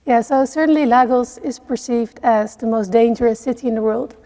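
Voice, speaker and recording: A middle-aged woman speaks with a slightly high but dark, mellow tone and a gravelly edge of fry. She answers interview questions earnestly, stopping often on fillers as she thinks. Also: a little fast. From Netherlands. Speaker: CEO of a network for social entrepreneurs.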